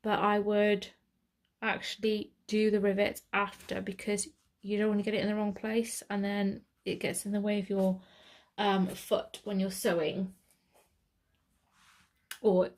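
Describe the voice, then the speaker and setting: A British woman talks through a sewing project at a slow, easy pace. Her voice sits mid-range, casual and chatty, often pausing to correct herself as she demonstrates. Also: medium pitch, flat delivery. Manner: chatty, self-correcting, thinking aloud. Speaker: sewing tutor. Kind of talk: step-by-step sewing tutorial.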